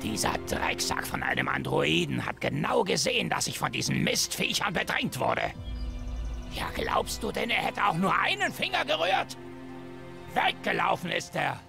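Very gravelly, menacing brawler. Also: male voice, a little high, huge pitch swings, moderate pace, music in the background, heavily acted. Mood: angry, wounded. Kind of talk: video game voice acting.

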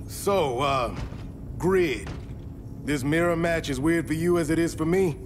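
An actor voicing a heroic, loyal young cyborg in a video game, casual, wry and confident. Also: male voice, a little high, measured pace, close to an everyday voice. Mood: defiant wisecracking. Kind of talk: video game cutscene acting. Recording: music behind the voice.

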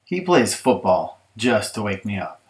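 A man's voice: lowish voice, big pitch swings, a little slow, noisy background. Man reading as exasperated kid sibling, emphatic storybook complainer. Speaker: author reading his audiobook. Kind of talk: children's picture-book storytelling.

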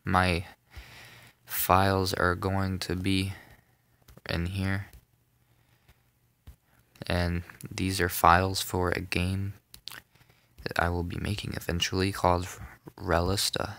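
A young man narrating a casual phone tutorial, rambling, with many ums and self-corrections, in a soft voice. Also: sits low, completely flat delivery, slow, long pauses, dry recording. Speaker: tutorial maker. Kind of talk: casual screen-recorded tutorial.

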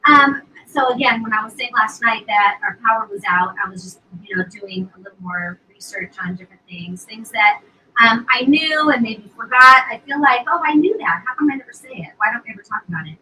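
A woman in her fifties pitching skincare on a live stream, chatty, with plenty of fillers and restarts. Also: animated, brisk, bright, forceful tone. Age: 50s. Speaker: co-host of a live sales stream. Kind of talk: casual live product pitch. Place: indoor studio set at a table. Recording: distant mic with faint hiss.

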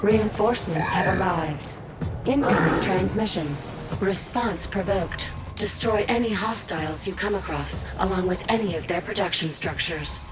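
A clinical female alien machine intelligence, acted by a woman, giving game briefings. She is formal and detached, slightly low in pitch. Very loud music plays behind her. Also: normal speed, natural voice, rough recording. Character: emotionless female alien invasion computer advisor. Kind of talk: scripted game announcements and briefings.

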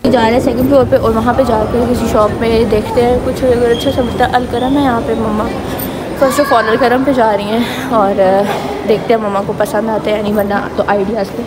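A woman's voice: a little high, level tone, a little fast, over a noisy crowd. A young adult woman with a Pakistani accent chatting casually for a vlog, mixing in English phrases. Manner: code-switches with English phrases. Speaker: vlogger. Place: home, street, mall and grocery store. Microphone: phone at arm's length. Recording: noisy handheld phone vlog recording.

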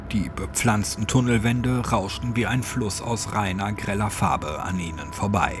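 Male horror narrator over music. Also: fairly low pitch. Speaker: audiobook narrator. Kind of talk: scripted horror story narration.

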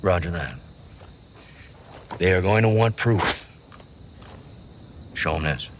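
Cocky, low-voiced military commander. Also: male voice, lively intonation, takes it slowly, noisy background. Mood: confident, businesslike. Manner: clipped, confident. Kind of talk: cinematic video game acting.

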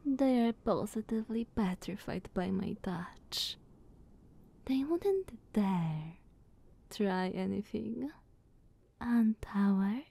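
A woman playing a playful, teasing younger vampire sister in ASMR roleplay, sultry and breathy, often near a whisper, coy and purring. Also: medium pitch, lively intonation, slow, full character voice. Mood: sultry, affectionate. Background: soft ambience.

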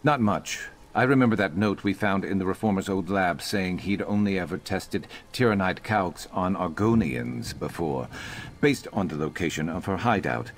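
An actor playing a gruff, vengeful lizard-man companion in a video game, wry but earnest, speaking in a measured, brooding way. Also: sits low. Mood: wry, suspicious. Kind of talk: video game character dialogue.

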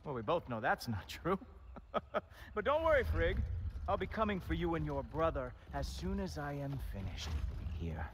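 A man's voice: fairly high pitch, very animated. An actor voicing an arrogant, mocking Norse god in a video game, sarcastic and drawing out his taunts. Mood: smug. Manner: smug, sarcastic, drawn-out taunting. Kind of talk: video game cutscene acting. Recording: loud game noise behind.

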